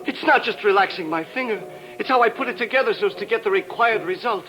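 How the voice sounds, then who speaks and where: An actor playing a deranged, dreamy bomber in a 1950s radio crime drama, halting and rapturous as if entranced. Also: male voice, high voice, natural voice, rough recording. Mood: proud, fervent. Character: deranged male bomber rapt by imaginary music. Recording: old radio recording with music behind.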